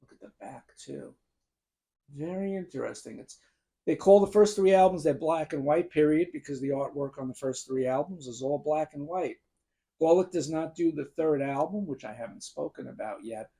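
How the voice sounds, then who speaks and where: A man in his fifties with a gruff, worn voice, enthusiastically ranking albums and listing songs to the camera. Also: a little high, mellow. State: gruff, strained voice. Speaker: music video host ranking albums. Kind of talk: casual talk to camera.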